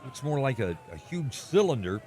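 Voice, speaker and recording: A man's voice: mid-range voice, very animated, darkish tone, lightly acted. Man playing a flustered radio reporter over noise. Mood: awed, flustered. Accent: American. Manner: halting, descriptive, searching for words. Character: earnest, flustered on-the-scene radio news reporter. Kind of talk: radio play live news commentary.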